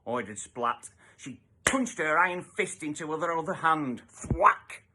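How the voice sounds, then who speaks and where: Husky, very animated male storybook narrator. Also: on the high side, a little slow. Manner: theatrical, with emphatic sound-effect words. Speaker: storybook reader.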